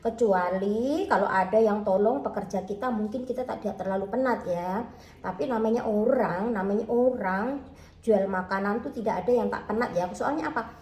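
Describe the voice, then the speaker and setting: A woman's voice: medium pitch, moderate pace, a little mellow, echoey room, low-quality recording. A woman from Indonesia with a Javanese accent mixed with Malay, chatting casually to her viewers from her kitchen and calling out to them again and again. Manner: chatty, keeps saying "teman-teman, ya", talks about herself in third person. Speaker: food-selling vlogger. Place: home kitchen.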